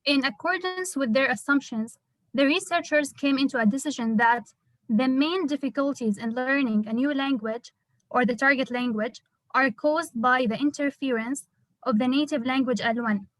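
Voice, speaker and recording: High-voiced young woman. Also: normal speed, dry recording, rough recording. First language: Arabic. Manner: careful, explanatory, slightly hesitant. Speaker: student. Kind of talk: class presentation.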